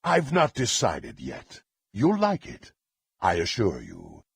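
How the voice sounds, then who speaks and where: An actor playing a power-hungry, contemptuous dark warrior in a fantasy video game, with a gravelly, husky voice and haughty, theatrical, archaic phrasing. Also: male voice, low-pitched, huge pitch swings, takes it slowly, dry recording, clean studio sound, exaggerated voice. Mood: smug, haughty.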